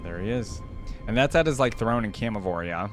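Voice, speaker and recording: A man's voice: lowish voice, lively intonation, a little fast. A man giving casual, enthusiastic reaction commentary in fan slang. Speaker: reaction video host. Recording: loud music and game audio behind. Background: music from the videos being watched.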